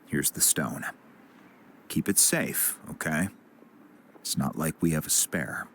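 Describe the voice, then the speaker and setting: Deep-voiced, helpful tribesman. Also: a bit bright, slight background noise, exaggerated voice. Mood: gruff goodwill. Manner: measured, reassuring, explanatory. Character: calm, helpful tribesman explaining lore. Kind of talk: English video game voice acting. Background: music.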